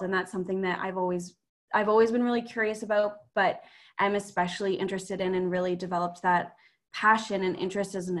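A young woman from Ontario, Canada, coordinating a program, who introduces herself on a podcast in a friendly, chatty way. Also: medium pitch. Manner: friendly, chatty, a few restarts. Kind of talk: casual self-introduction.

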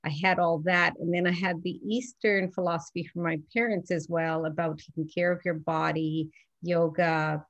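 A woman's voice: on the low side, highs cut off. A middle-aged woman from Newfoundland, a physician and author, talking earnestly and reflectively as a guest in an interview, with frequent restarts. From Newfoundland, Canada. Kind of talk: interview conversation.

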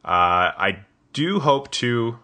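A man's voice: mid-range voice, animated, slow, pushed and bright. A man hosting a podcast interview in a casual, hesitant way, often restarting his sentences with small laughs. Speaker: podcast host.

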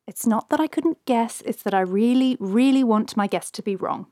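A man's voice: extremely high pitch, moderate pace, a little mellow, no echo, studio quality, voice pushed up. A man voicing a brash, streetwise half-elf informant who passes as female, with a blunt, sardonic, colloquial delivery in a fantasy audiobook. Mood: scornful. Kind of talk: audiobook character dialogue.

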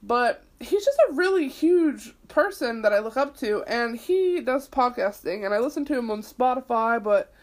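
A woman's voice: on the high side, animated, moderate pace, few pauses. A woman in her twenties hosting her own podcast, rambling casually, swearing freely and leaning on "like," with a sniffly, itchy throat. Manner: chatty, swears freely, lots of "like". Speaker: podcast host.

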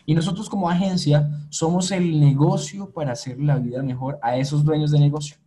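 A man guest in a business podcast interview, answering reflectively and pausing to search for words. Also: on the high side, fairly even intonation, warm tone. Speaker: agency owner, guest.

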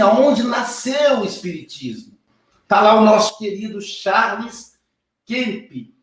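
Male host, effusive intros, higher pitch, distant in an echoing room. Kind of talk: live online show hosting. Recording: very echoey, distant from mic.

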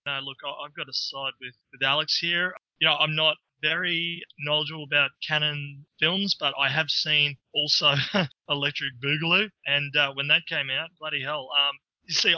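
An Australian man chatting on a podcast with a very bright, ringing tone and a slightly high voice. He rambles, laughs easily and corrects himself as he goes. The audio is dull and lo-fi, and the room sounds dry. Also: dry recording. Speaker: guest. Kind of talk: casual podcast chat.

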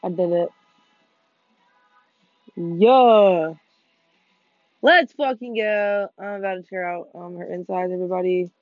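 A teenage girl chatting over a video game, quick and profane, breaking into sudden excited yelps. The sound is dull and muffled, with dry close pickup and faint music underneath. She keeps running herself down between jokes. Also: lowish voice, no echo. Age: teens. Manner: fast, swearing, self-deprecating, excitable outbursts. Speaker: podcast co-host. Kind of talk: casual gaming chat with friends.

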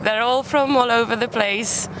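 A woman's voice: on the high side, fairly even intonation, normal speed. A young woman from Spain with a British English accent, chatting easily as an interview guest and laughing often, recorded outdoors in a city park. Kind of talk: casual chat. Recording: outdoor street noise.

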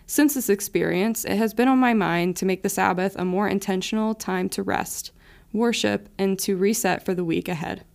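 A woman's voice: mid-range voice, moderate pace, creaky, darkish tone. A woman reading scripture and a scripted personal reflection as part of a devotional. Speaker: devotional writer and reader.

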